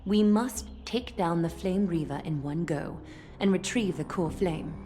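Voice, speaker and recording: A woman performs a regal game ruler with a slightly low voice. She is formal, composed and authoritative, choosing each word with measure. Music plays clearly behind her. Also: measured pace, lightly acted. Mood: solemn resolve. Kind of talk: dramatic game voice acting.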